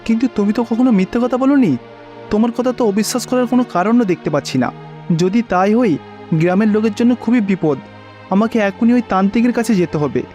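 A man's voice: high-pitched, fairly quick, dark tone, faint music behind, natural voice. A man playing an alarmed village landlord in a cartoon fairy tale, with an earnest manner.

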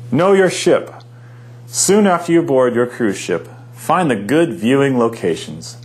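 A man's voice: medium pitch, very animated, unhurried, darkish tone. A man working as a park ranger, giving visitors upbeat scripted advice in a guide's delivery. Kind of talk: scripted informational narration.

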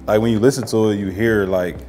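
A man's voice: lowish voice, fairly even intonation, quick pace, mellow. An American man in his thirties, a guest, chats casually in a slangy, hesitant, sometimes profane way. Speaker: guest, music artist. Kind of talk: casual chat. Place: home-style kitchen, indoors. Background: music.

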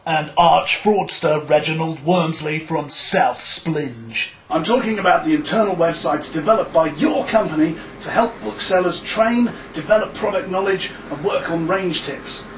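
Man acting a portentous British exposé reporter, high-pitched. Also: a little slow, hardly any pauses, a little hiss or hum. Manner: dramatic, portentous delivery. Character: earnest, self-important male exposé TV reporter. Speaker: investigative TV reporter. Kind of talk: mock investigative news narration. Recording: roomy voice with music behind.

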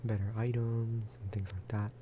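A soft-spoken man hosts a gaming ASMR video in a low, flat voice. He rambles casually and often drops into a whisper. Clear background noise and clicking sit behind him. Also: moderate pace.

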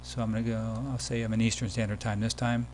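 Low-key man narrating, faint hum behind. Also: level tone, fast talker, a little mellow. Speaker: software demo presenter. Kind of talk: casual screencast tutorial narration. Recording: background hum and noise.

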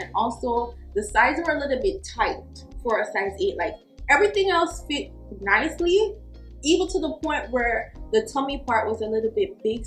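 Young woman doing a chatty fashion vlog, kinda high voice, roomy sound, slangy and emphatic. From Jamaica. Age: young adult. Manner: emphatic, slangy, drawn-out words for effect. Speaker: fashion vlogger. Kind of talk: casual chatty lookbook commentary. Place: small furnished room at home, indoors. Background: faint music.

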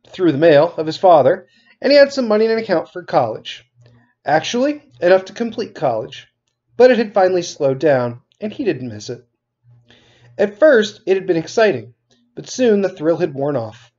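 A man's voice: a little high, darkish tone. A middle-aged man, a teacher reading aloud to students from his couch at home. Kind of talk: read-aloud of a children's novel with casual introduction. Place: living room at home, on a couch. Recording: dull, lo-fi webcam sound.

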